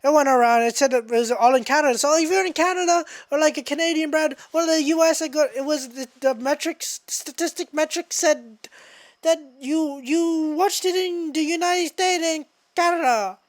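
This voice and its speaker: High-voiced, rambling young woman podcaster. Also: normal speed, no echo. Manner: slangy, sweary, scattered and excitable. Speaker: podcast host. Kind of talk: casual freestyle rambling. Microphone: close microphone through a mixer.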